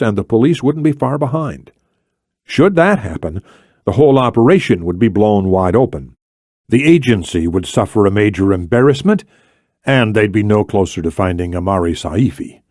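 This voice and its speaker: A man's voice: medium pitch, darkish tone, no room sound, clean studio sound. A man reading scripted thriller narration as an audiobook narrator.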